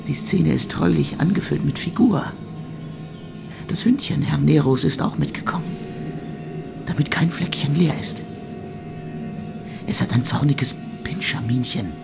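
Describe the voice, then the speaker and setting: Tormented ironic composer, radio drama, creaky mid voice, mocking and theatrical, music behind him. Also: male voice, fairly high pitch, heavily acted. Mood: excited, theatrical. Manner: mocking, savouring archaic phrasing, theatrical. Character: suffering male composer, ironic, sardonic, morbidly theatrical. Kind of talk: literary radio play monologue. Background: instrumental music.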